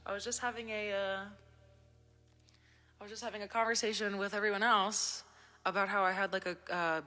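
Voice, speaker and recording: A young woman from New Jersey, an independent musician, chatting casually with viewers on a livestream, her voice worn and hoarse from a recent cold, talking in a relaxed, rambling way full of fillers. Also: mid-range voice, brisk, long reverb tail, faint music behind. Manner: laid-back, rambling, many "like" and "um" fillers.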